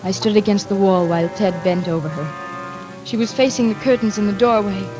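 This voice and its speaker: A woman acts out a frightened young daughter in 1940s radio thriller style. Her delivery is breathy and dramatic. The vintage recording has rain and faint music behind it. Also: mid-range voice, moderate pace, close to an everyday voice, poor audio. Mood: haunted narration.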